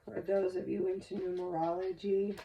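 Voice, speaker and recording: A woman's voice: medium pitch, level tone, moderate pace, creaky, mellow, poor audio. A congested woman giving a chatty, reassuring tarot reading, stuffy from a cold. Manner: chatty, reassuring, repeats herself. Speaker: tarot reader. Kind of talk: casual spoken tarot reading.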